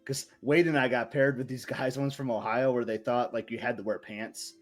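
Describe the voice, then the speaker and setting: A man's voice: mid-range voice, steady tone, faint music behind. Chatty male podcast co-host. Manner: chatty, enthusiastic storyteller with quick asides. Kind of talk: casual chat.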